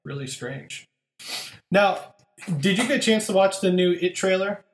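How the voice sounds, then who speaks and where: A man's voice: high-pitched, a little slow, echoey room. A man hosting a podcast, chatting casually and upbeat with lots of fillers. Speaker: podcast host.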